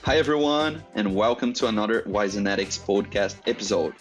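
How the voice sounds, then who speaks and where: A man from Brazil whose first language is Portuguese, hosting a podcast interview in a careful way with frequent pauses and fillers. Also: on the low side, over music. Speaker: podcast host.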